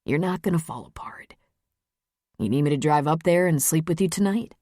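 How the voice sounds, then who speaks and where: A female narrator voices a calm boyfriend in a romance audiobook. He sounds steady and reassuring, in a slightly low register and a dry space. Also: normal speed, dry recording. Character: steady, affectionate adult man, protective boyfriend.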